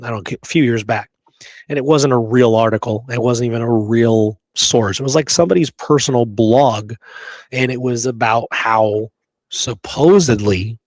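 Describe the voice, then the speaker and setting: A man's voice: mid-range voice, no room sound. Male co-host, mid-range voice, informal and slangy history chat, dry recording. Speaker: podcast co-host. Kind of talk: casual history discussion.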